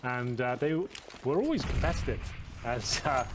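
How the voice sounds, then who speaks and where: A man casting an esports match, breaking down tactics quickly with a joking, bantering manner. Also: medium pitch, animated. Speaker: esports caster. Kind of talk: analytical commentary with banter. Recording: noisy broadcast with game sounds.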